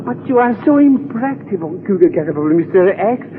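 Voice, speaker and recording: An actor playing a greedy, wheedling double-crossing spy in a 1940s radio drama. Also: male voice, sits high, lively intonation, slow. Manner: wheedling, haggling. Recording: noisy old radio recording, phone-like.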